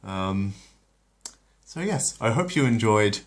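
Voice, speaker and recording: A very deep-voiced man reads a retold story in a relaxed storybook manner. When he steps off the script, he talks casually and hesitantly, with many pauses. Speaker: storyteller, channel host. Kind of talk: reading a retold episode aloud, then casual chat.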